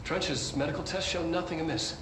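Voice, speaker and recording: A man playing an earnest scientist dictating research logs in a video game, measured and clinical with a slightly high voice. The recording is roomy and echoey, with a steady hiss of noise underneath. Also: natural voice. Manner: measured, clinical delivery with nervous stammers. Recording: echoey, noisy recorded log.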